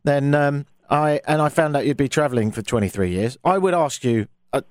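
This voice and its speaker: A British man hosting an interview, chatty and animated, acting out little dialogues as he tells stories. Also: a little high, fairly quick, no echo. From United Kingdom. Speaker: host. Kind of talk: casual interview.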